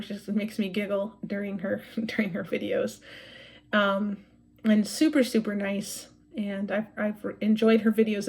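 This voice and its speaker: A woman's voice: mid-range voice, a little mellow. A woman in her forties from Maine, chatting casually to camera as a cross-stitch vlogger and often rambling and correcting herself with lots of fillers.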